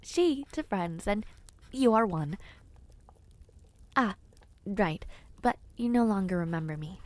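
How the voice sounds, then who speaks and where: A woman voicing a kindly, whimsical witch in an audio roleplay, talking to the listener in a gentle, theatrical, chatty way with airy hums. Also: mid-range voice, expressive, takes it slowly. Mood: wistful, tender. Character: kindly, whimsical, ancient witch, flustered caring hostess.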